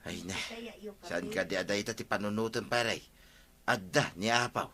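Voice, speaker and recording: A man's voice: on the low side, moderate pace, a crowd in the background, lightly acted. A man with an Ilocano accent playing a gossipy, familiar village buddy in a radio comedy-drama. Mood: consoling.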